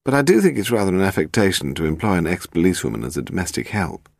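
A man voicing a refined, sharp-tongued wife who teases and frets in a reproachful way. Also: low voice, sing-song, fast talker, vocal fry, no room sound, full character voice. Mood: teasing.

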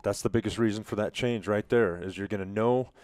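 A man's voice: on the low side, fairly quick, darkish tone, dry recording. A middle-aged man you'd hear steering an outdoorsmen's podcast around a table, up close and dry. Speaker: podcast co-host. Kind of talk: casual hunting podcast discussion. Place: small wood-panelled room, around a table. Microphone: headset mic.

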